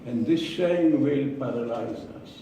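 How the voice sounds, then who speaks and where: A man with an Israeli accent giving a prepared speech to a club, slow and deliberate, with pauses and repeated words. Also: medium pitch, noisy background. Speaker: club speaker. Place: meeting room. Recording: echoey room from far off.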